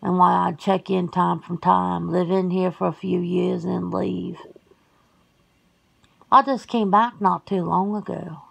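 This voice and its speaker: A woman's voice: fairly low pitch, fairly even intonation, unhurried, vocal fry, heavily acted. A woman playing a folksy, plain-spoken werewolf sister in an ASMR roleplay, talking casually and colloquially. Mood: reflective.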